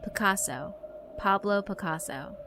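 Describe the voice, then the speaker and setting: A woman's voice: medium pitch, measured pace, lightly acted. A woman voicing a proud, knowledgeable female art club advisor in a horror visual novel, defiant and self-assured. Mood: confident. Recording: music behind the voice.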